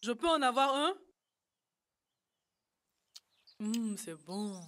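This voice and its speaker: A woman's voice: a little high, lively intonation, moderate pace, a bit bright, slight background noise. A woman voicing an eager, upbeat child in a French-dubbed educational cartoon. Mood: cheerful.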